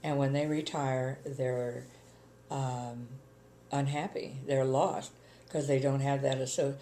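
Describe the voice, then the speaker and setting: An older woman with a gravelly voice, chatting plainly about her life in an interview, with many ums. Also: extremely low pitch, level tone, slow, warm tone, a little hiss or hum. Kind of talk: casual reminiscing chat.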